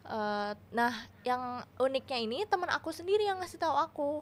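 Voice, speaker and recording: Agreeable young woman guest, higher voice. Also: very animated, a little fast. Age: 20s. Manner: agreeable, repeats "benar" often. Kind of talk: casual podcast chat.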